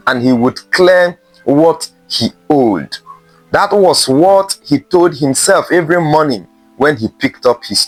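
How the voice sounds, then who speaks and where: A man narrating a scripted folktale in a measured way, with dramatic pauses between short phrases. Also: fairly high pitch, no echo, low-quality recording. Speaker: storyteller.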